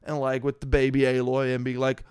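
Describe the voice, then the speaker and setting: A man giving casual, unscripted gaming commentary in a halting, rambling way, with animated emphasis and little acted-out asides. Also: mid-range voice, smooth. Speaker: gaming news streamer reacting to announcements and chat.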